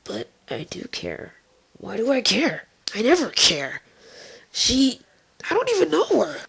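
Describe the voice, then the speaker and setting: A cool, guarded party pony played by a woman with a husky voice. She talks slowly and tersely, in a matter-of-fact, deadpan way, as in an audio fan drama. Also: fairly high pitch, exaggerated voice. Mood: confused, troubled.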